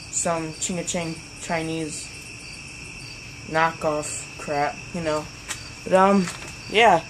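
A young male hobbyist giving a casual, rambling, slangy product review outdoors at night. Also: fairly high pitch. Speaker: hobbyist vlogger. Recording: noisy outdoor recording with insects.

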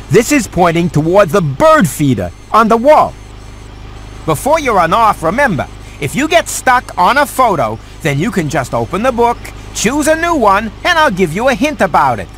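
An actor playing a sarcastic, fussy house cat who wisecracks his way through an animated video game. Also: high-pitched, moderate pace, slight background noise. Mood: wry, instructing. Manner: wisecracking, sardonic.

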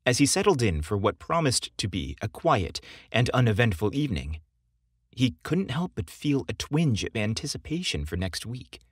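A man narrates a scripted romance story with a widely moving, expressive pitch. His voice is slightly husky and measured, recorded dry with no room sound. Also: no room sound.

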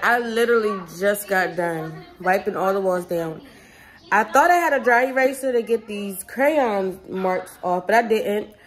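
A woman's voice: mid-range voice, animated, a little slow. A young woman, a stay-at-home mom, chatting casually to her phone camera in her living room, rambling with lots of "um" and "like". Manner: rambling, chatty, many fillers like "um" and "like". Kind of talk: casual clean-with-me vlog. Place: apartment living room, indoors. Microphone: phone camera at arm's length.

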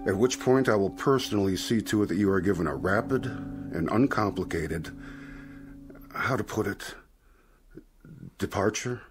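An actor in a radio drama playing a suave devilish dealmaker, slyly courteous, formal and unctuous. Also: male voice, fairly low pitch, normal speed, pauses often, background noise, lightly acted. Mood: silky, explaining.

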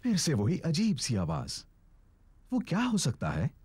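Very animated male children's cartoon narrator. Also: fairly high pitch, unhurried, a little hiss or hum. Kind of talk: scripted children's storytelling narration.

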